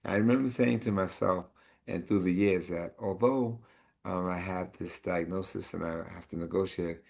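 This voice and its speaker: A man sharing his own story of faith and then moderating a community panel, with halting speech and many fillers. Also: low voice, vocal fry, a little mellow.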